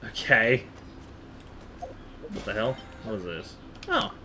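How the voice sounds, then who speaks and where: A man doing live gameplay commentary as a streamer, excitable and joking, with casual swearing. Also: on the low side, slow pace. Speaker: game streamer. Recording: live stream with faint game noise.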